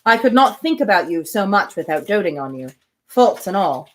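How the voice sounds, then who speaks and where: A woman reading the lines of a dry, teasing gentleman in his thirties in her own plain voice. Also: medium pitch, big pitch swings, normal speed, natural voice.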